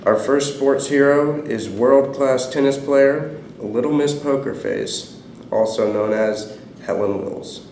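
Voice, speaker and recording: A man's voice: mid-range voice, slow pace, a little mellow. A teenage male reading scripted sports news as a student presenter, recorded in an echoey room with noise. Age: teens.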